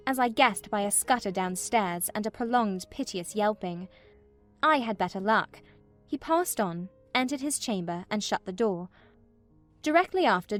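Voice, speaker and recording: A woman playing an unhappy young gentlewoman, recounting her troubles in measured literary narration for a dramatized audiobook. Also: medium pitch, close to an everyday voice. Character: vexed, wretched young gentlewoman recounting in a letter.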